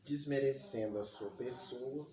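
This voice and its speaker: A woman's voice: extremely low pitch, unhurried, dark tone. A woman with a Brazilian accent, interviewing casually with short prompts and follow-up questions. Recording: echoey room.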